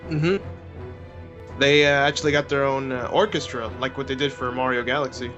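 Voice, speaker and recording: A man's voice: mid-range voice, flat delivery, moderate pace, fairly bright tone, lo-fi sound. A man co-commentating on a video game in an informal, joking, chatty way. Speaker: let's play co-commentator. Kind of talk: casual gameplay commentary, joking chat. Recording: game music under the voice.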